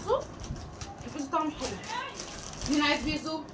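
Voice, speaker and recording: Egyptian female family vlogger, rapid-fire chatty delivery, casual unboxing talk, recorded off-mic in an echoey room. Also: high voice. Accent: Egyptian Arabic. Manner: chatty, addressing viewers and family. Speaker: family vlog host. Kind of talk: casual chat while unboxing toy bags. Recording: distant mic, echoey room, clear background noise.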